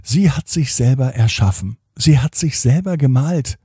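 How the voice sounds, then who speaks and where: Gravelly, dark-voiced bedtime storyteller man. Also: mid-range voice, moderate pace, no echo, studio quality. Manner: calm, gentle, soothing storytelling. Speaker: podcast host and storyteller. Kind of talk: bedtime story reading for children.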